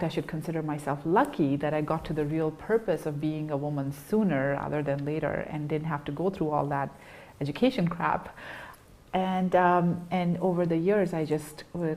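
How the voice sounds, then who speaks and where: Young woman, low voice, earnest and halting, lots of ums, telling her own story on a talk show. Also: darkish tone. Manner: earnest, halting, with many fillers and restarts. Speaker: guest. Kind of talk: personal testimony in an interview.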